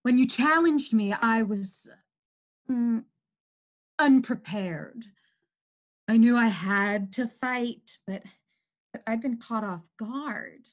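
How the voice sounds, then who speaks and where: Woman acting a slow, boastful, menacing virus. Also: a little high. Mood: unsettled. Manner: theatrical, drawn-out, gloating, swinging from outbursts to hushed menace. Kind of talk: dramatic stage monologue. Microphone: computer microphone, close webcam framing.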